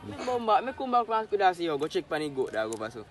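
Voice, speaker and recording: A young man with a Jamaican accent, bantering and telling stories casually in excitable slang. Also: fairly high pitch, rapid-fire. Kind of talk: casual banter and storytelling among friends. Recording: noisy group chatter, outdoor ambience.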